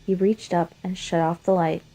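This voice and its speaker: A woman's voice: lowish voice, little pitch movement, normal speed, warm tone, rough recording. A woman reading and chatting as an amateur fan fiction writer and narrator. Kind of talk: scripted story narration and casual chat.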